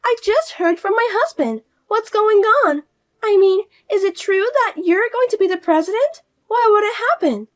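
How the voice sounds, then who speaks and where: A woman voicing a spoiled, arrogant sister-in-law who talks down to everyone in a shrill, scolding way. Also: lively intonation, moderate pace. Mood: indignant. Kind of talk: audio story voice acting.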